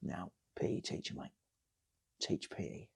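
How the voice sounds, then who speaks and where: A man playing a blunt, laconic, slow-talking PE teacher in a comic story, deadpan and matey. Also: lightly acted.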